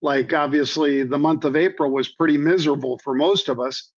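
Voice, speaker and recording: A man with a slightly hoarse voice, a trading guest explaining things in a folksy way with many ums and repeated phrases. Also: fairly high pitch, fairly even intonation, fairly quick. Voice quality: hoarse from years of floor yelling. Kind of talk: conversational explanation. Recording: dull lo-fi audio.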